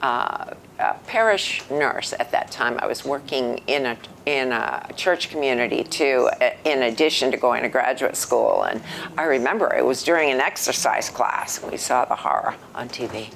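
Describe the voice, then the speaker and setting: Low-voiced older woman, thoughtful guest. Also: moderate pace, creaky, fairly bright tone, a little hiss or hum. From New Hampshire, United States. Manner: thoughtful, earnest, with frequent ums. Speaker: interview guest. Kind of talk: conversational interview answers.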